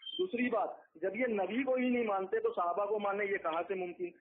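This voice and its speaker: A man's voice: high-pitched, steady tone, moderate pace, breathy edge. A man making emphatic accusations in a heated religious debate over a phone call, repeating his points. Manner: accusatory, argumentative, emphatic repetition. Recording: tinny phone conference line.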